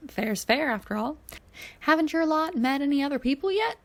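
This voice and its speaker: A woman's voice: sits high, animated, moderate pace, voice pushed up. A woman voicing a cheerful, chatty older alien man who rambles and exclaims in a fan fiction reading. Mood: chatty, curious.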